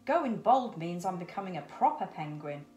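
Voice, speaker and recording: A woman's voice: on the low side, very animated, moderate pace, a little mellow. A woman voicing an eager, curious young male penguin chick in a storybook, full of keen questions and exclamations. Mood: thrilled. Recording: roomy echo.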